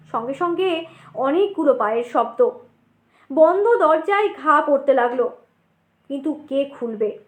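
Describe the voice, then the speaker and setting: A woman reading a scripted ghost story as an audiobook narrator, expressive and suspenseful, with deliberate pauses. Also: sits high. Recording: roomy echo.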